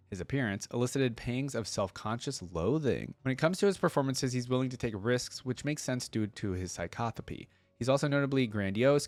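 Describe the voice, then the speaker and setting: Male reaction host, mid-pitched voice, reads aloud with casual, curious commentary, recorded very dry. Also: dry recording. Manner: casual, curious asides between read passages. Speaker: host of a game lore reaction series.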